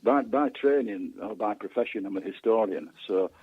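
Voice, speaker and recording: Hesitant, husky man on a phone line. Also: on the low side, normal speed. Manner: hesitant, often repeats words mid-sentence. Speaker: author and historian interviewed as a guest. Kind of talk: casual radio interview. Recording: muffled, narrow phone-line sound with echo.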